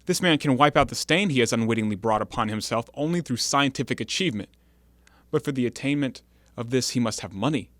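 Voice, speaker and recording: Audio drama: male actor for a resentful, wheedling male ex-convict forger; sharp bright voice, theatrical delivery, very dry sound. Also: medium pitch, no echo, lightly acted. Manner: theatrical, insinuating, deliberate. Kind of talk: audio play acting.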